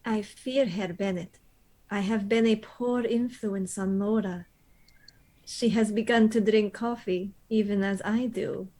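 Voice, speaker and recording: A woman's voice: mid-range voice, steady tone, a little slow, dark tone, lightly acted, poor audio. An actress playing a mysterious, courteous young lady guest in a radio play, with a languid, gracious and formal manner. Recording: clear background noise under the voice.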